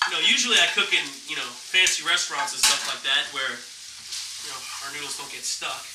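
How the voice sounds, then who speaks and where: A man's voice: a little high, brisk. A man in his twenties chatting casually as he demonstrates cooking as a chef in a home kitchen. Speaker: chef hosting a cooking show. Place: small home kitchen, indoors. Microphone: camera a few steps away. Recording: echoey noisy kitchen, distant camera microphone.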